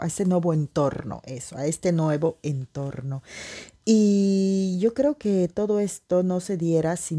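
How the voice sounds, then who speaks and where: A woman from the Amazon, her voice low and soft-edged. She muses aloud in a casual vlog, circling back with fillers and restarts as her thoughts drift. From Peruvian Amazon. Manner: meandering, many restarts and fillers. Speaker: vlogger. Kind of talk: casual reflective monologue.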